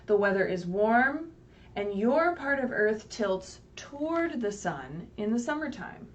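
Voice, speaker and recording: A woman with a high, very lively voice reads a picture book to small children. She takes her time, explains things simply and keeps checking in with little questions. She sounds a bit away from the mic, with faint noise in the recording. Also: a little slow, highs cut off. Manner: sing-song, asks questions and checks in with "right?". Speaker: children's librarian leading story time. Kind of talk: read-aloud picture book story time for young children. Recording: distant mic, faint background noise, livestream.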